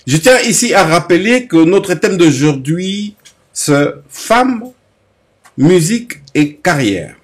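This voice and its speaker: A man's voice: sits high, big pitch swings, slow pace, slightly rough. A man hosting an interview, attentive and formal, often murmuring agreement. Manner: attentive, frequent affirming murmurs, formal greetings. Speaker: interviewer, host. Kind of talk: interview conversation.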